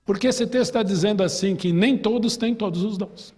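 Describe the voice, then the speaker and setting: A Brazilian-accented pastor leads a Bible class in a church hall, his somewhat high voice husky and breathy. He talks in an easy, chatty way, quizzing the listeners. Long reverb trails each phrase. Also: a little fast, a little mellow. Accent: Brazilian Portuguese. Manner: chatty, asks the class questions, tells personal stories. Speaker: pastor teaching a Sunday school class. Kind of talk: conversational Bible class teaching.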